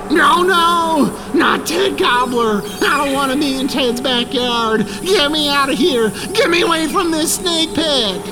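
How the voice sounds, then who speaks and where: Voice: medium pitch, lively intonation, unhurried. An actor playing a panicky, goofy, apologetic writer in a comedic audio drama, exclaiming melodramatically with folksy mild oaths. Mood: terrified. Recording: loud music under the voice.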